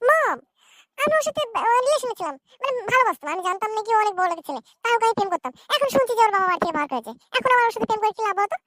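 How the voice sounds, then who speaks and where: A fickle young girlfriend in a comedy cartoon. She has a squeaky, extremely high voice and rattles out snappish, dismissive lines very fast. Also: very animated, fairly bright tone, no echo, exaggerated voice. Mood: startled, defensive.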